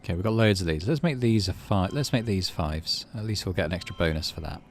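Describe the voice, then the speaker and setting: A man's voice: low voice, expressive, quick pace, a little hiss or hum. A man hosting a game playthrough, reading story text aloud and thinking through his moves in a muttering way. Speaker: gaming channel host. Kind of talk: let's play commentary and read-aloud narration.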